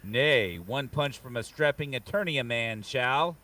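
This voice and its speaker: A man's voice: mid-range voice, animated, unhurried, pushed and bright. A man voicing a boastful, mighty barbarian hero in a comic book table read, declaiming pompously, recorded at a distance from the mic. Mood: boastful, combative. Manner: pompous, archaic heroic declaiming.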